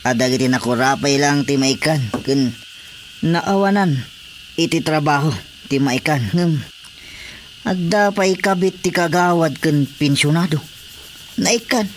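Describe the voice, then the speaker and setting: A man's voice: on the high side, measured pace, long pauses, background noise, close to an everyday voice. An actor playing a disgruntled, argumentative village fisherman in an Ilocano radio drama. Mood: complaining.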